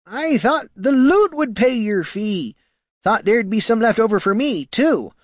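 A man voicing a gnarled, aggrieved old farmer with a high, strained rustic voice in an audiobook. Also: dry recording, clean studio sound, heavily acted. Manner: pleading, indignant outbursts. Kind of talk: audiobook character voice.

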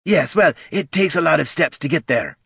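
A man's voice: a little high, very animated, moderate pace, a bit bright, no echo, clean studio sound, pitched above the performer's own voice. A man doing a fussy, pompous old dwarf guildmaster. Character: gruff older male dwarf guildmaster, grudging.

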